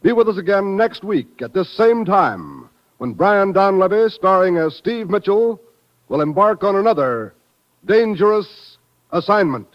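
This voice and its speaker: A man's voice: sits high, sing-song, a little slow. A man with an American accent announcing in the polished style of old radio. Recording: muffled old radio recording with music.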